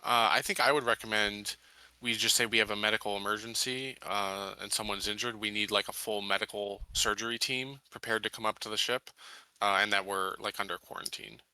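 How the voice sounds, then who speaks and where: A man's voice: on the low side, fairly even intonation, brisk, sharp tone, dry recording, low-quality recording. A man playing a tabletop roleplaying game, speaking casually in character.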